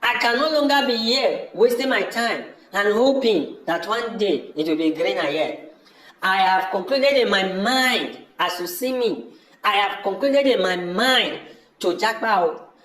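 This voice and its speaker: A man's voice: high voice, expressive, unhurried, fairly bright tone, echoey room, rough recording. A man in an amateur audio drama playing a brother, speaking in an emphatic and repetitive way. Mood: dismissive, fed up. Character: welcoming but disillusioned brother, fed up with farming.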